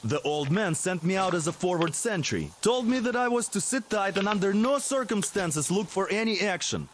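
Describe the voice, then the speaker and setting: Cocky young comrade, game drama, very lively high voice, wry complaining, noisy recording. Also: male voice, moderate pace. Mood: playful grumbling. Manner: animated, joking complaint. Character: cocky, grumbling young male soldier comrade.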